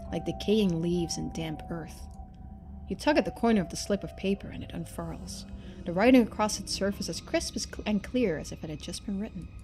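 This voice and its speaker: A woman streamer reading a story game aloud in expressive narration, with casual asides. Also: mid-range voice.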